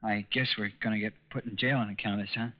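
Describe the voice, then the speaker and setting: A meek, gullible young fellow played by a male actor in a vintage radio crime drama. His voice is slightly low and hesitant, with boyish slang, on a muffled old recording. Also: steady tone, fast talker, natural voice. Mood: sheepish. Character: timid, gullible young man, reluctant draft dodger.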